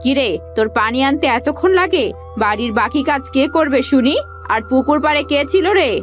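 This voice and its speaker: Woman doing nagging bossy cartoon bhabi, scheming, high voice, faint music behind. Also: brisk, lightly acted. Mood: bossy scolding. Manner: scolding, commanding. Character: scheming, bossy young village sister-in-law. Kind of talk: cartoon fairy-tale acting.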